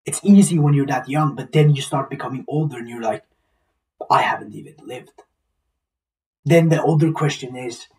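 A man's voice: mid-range voice, a little mellow. Young man musing aloud, a bit distant. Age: 20s. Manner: rambling, repeats himself, swears. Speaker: vlogger. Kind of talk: reflective casual monologue. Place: small plain indoor room.

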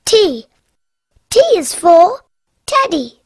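A woman's voice: extremely high pitch, big pitch swings, extremely slow, dry recording, studio quality, exaggerated voice. A giggly little piglet girl. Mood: cheerful. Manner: bright, giggly, sing-song letter reciting. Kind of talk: cartoon alphabet lesson.

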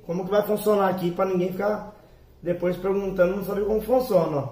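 A hoarse young man with a São Paulo interior accent, talking loosely and repeating fillers like "ó" to his viewers. His voice sits fairly high, and it bounces around a hard room. The camera mic is set well back. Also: steady tone, fast, warm tone. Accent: Brazilian Portuguese, São Paulo interior. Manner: chatty, repeats "ó" and "galera" as fillers. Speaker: motorcycle parts shop owner. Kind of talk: casual vlog to viewers. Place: small shop with shelves of parts, indoors. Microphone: far camera. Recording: echoey room, mic at a distance.

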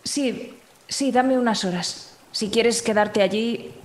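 A woman's voice: on the high side, slow, poor audio. A woman playing a shaken young woman who hesitates and reassures in a tabletop roleplaying game. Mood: hesitant, reassuring.

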